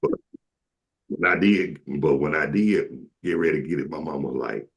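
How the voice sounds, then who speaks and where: A man with a deep, carrying voice telling casual, joking stories to friends as a talk show host, slangy and quoting people as he goes. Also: moderate pace, dull audio. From southern United States. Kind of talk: casual comic storytelling with friends.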